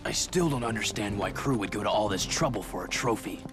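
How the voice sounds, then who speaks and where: Game lead, male actor: tough stoic racer, low register, clipped deadpan delivery, fearless. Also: a little fast, over music, natural voice. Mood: suspicious. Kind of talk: game cutscene acting.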